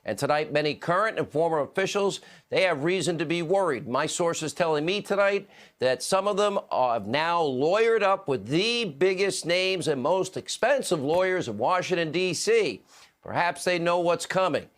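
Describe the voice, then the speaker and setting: American guy news host, kinda high voice, punchy scripted commentary. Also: lively intonation, moderate pace, a bit bright. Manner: emphatic, punchy.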